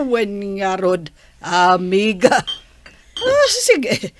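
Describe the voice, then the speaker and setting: A woman's voice: medium pitch, huge pitch swings, extremely slow, slight background noise, exaggerated voice. A woman acting a well-off middle-aged mother and soon-to-be grandmother in an audio drama, speaking expressively. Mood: merry, affectionate.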